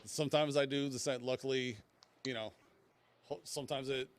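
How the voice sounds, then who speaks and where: A man co-hosting a casual film podcast, explaining his views in a halting, measured way. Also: medium pitch, steady tone. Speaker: podcast co-host.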